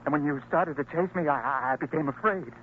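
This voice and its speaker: A man's voice: fairly high pitch, brisk, lightly acted. A man acting a meek, servile, stammering pickpocket in an old-time radio drama. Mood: frightened, pleading. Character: cowering, pleading male pickpocket, meek and apologetic. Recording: tinny, noisy old radio recording.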